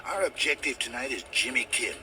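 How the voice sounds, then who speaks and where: A man's voice: medium pitch, expressive, fairly quick, natural voice. Man as earnest American superhero leader, distant-sounding. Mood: earnest, commanding. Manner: earnest, commanding, fatherly. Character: stern, devout ex-mob hitman leading a superhero team. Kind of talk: video game dialogue. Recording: distant voice under loud music.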